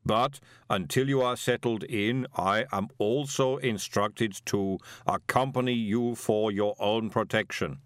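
Man voicing a slow, rumbling ancient golem. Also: fairly even intonation, dry recording, studio quality, natural voice. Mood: placid. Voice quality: deep rumbling. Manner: slow, placid, formal and deliberate. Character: ancient male golem servant, huge, placid, literal.

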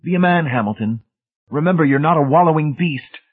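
Man putting on a high, pompous young zealot. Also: a little fast, husky, narrow, tinny audio. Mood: sanctimonious. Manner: pompous, declamatory, sanctimonious. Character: Brady, smug young male zealot technician, pompous and sanctimonious.

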